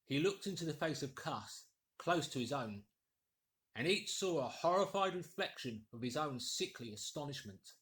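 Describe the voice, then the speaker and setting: A man narrating a classic novel as an expressive scripted reading. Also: fairly high pitch, normal speed. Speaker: audiobook narrator, actor.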